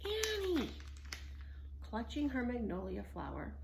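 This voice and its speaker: A woman narrating a children's story in an expressive read-aloud style. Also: fairly low pitch, measured pace, slight background noise, low-quality recording. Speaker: storybook reader.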